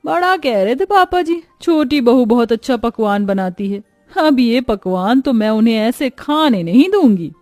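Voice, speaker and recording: A woman's voice: fairly high pitch, animated, normal speed, darkish tone, no room sound, soft background music, natural voice. Woman doing a sly, jealous sister-in-law. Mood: spiteful.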